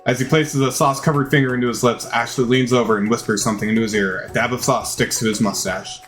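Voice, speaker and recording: A man reads story text from a video game aloud in a medium-pitched voice. His delivery is theatrical and he sometimes trips over words. Soft music plays underneath. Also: steady tone, quick pace. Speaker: video game playthrough narrator. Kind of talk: dramatized reading of game text.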